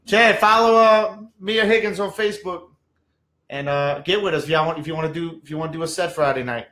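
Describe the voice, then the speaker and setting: A man's voice: a little high, fairly quick, pushed and bright. A man in a casual podcast chat, talking in a hyped, encouraging way. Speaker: podcast co-host.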